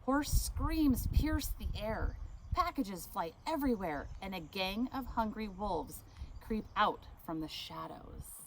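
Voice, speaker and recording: A woman reading a picture book aloud, expressive, stopping now and then to ask the listener questions. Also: mid-range voice, slow pace, slight background noise, rough recording. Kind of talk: read-aloud storytime.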